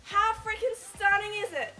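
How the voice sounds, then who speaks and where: A woman's voice: extremely high pitch, normal speed, loud music behind the voice. A young Australian woman giving casual, enthusiastic wildlife commentary in a gushing, chatty way with informal slang. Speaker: wildlife vlogger.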